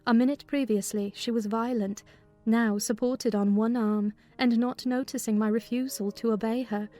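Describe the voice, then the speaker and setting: A woman plays a sensible housekeeper telling a story from a classic novel. Her fairly high voice is measured in narration and turns firm and scolding in dialogue, over soft music. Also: close to an everyday voice. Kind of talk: dramatized first-person narration of a classic novel.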